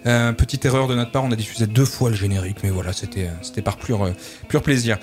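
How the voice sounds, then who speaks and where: A man's voice: medium pitch, fairly even intonation, quick pace. A man hosting a community radio music show, hesitating with many fillers and restarts and laughing easily. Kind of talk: casual radio chat.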